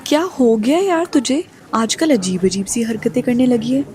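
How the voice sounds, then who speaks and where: A woman's voice: on the high side, expressive, moderate pace, warm tone, close to an everyday voice. A woman playing an anxious, scolding young college girl and protective best friend in an audio drama, exclamatory and fretful. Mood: bewildered, worried. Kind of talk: Hindi-English audio drama acting.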